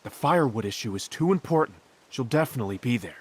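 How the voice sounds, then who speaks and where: A man's voice: mid-range voice, expressive, fast, husky. A man playing a helpful, modest craftsman friend in a video game, earnest and a little hesitant as he explains things. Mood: eager, explaining. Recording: loud background music.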